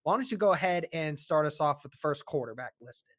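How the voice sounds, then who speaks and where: A man co-hosting a casual sports podcast, talking with a sore, strained throat and a relaxed, rambling delivery full of fillers. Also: on the high side, a little fast. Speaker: podcast co-host.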